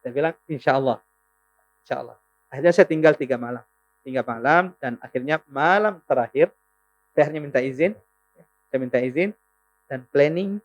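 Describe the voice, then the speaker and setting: A man with a Makassar accent speaks as a guest Islamic preacher on a podcast. He tells his story in an unhurried, earnest way, and his voice sits in a middling pitch. At times he turns to forceful religious exhortation. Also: muffled recording. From South Sulawesi, Indonesia.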